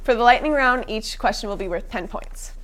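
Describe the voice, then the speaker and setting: A woman's voice: fairly high pitch, a little fast, fairly bright tone. Young woman hosting a student game show. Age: young adult. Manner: upbeat, with frequent fillers and restarts. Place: college TV studio.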